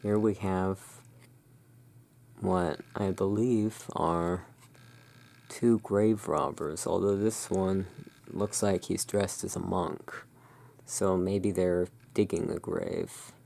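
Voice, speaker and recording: A hushed, soothing and unhurried voice in an ASMR roleplay, playing the owner of a gothic curiosity shop. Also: low-pitched, steady tone, creaky. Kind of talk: ASMR roleplay, showing tarot cards.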